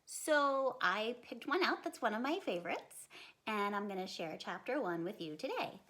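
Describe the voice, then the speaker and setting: A woman's voice: mid-range voice, very animated, normal speed, bright, forceful tone. A woman hosting a read-aloud, narrating a storybook expressively and talking directly to young children.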